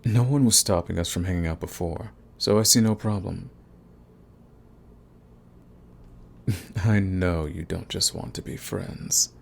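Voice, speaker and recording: A man's voice: low voice, normal speed, creaky, a little hiss or hum. A man playing a cocky, flirty villain wooing an enemy in a teasing, intimate romance audio roleplay.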